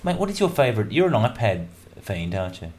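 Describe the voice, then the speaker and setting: Very deep-voiced rambling radio host. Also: male voice, very animated, fast, darkish tone. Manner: chatty, jokey and rambling, with many fillers. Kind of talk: casual banter between music tracks.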